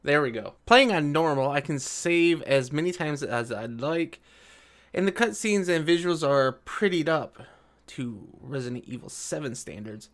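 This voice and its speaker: A man with a slightly high voice gives casual, off-the-cuff commentary as a video host. He sounds sarcastic and argumentative, breaking away from reading aloud to make his own pointed remarks. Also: animated, unhurried.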